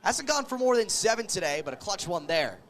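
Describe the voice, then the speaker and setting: A man's voice: sits high, big pitch swings, brisk, fairly bright tone. A man doing live American football play-by-play as a radio announcer, following the action in clipped, vivid phrases. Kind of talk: live sports play-by-play commentary.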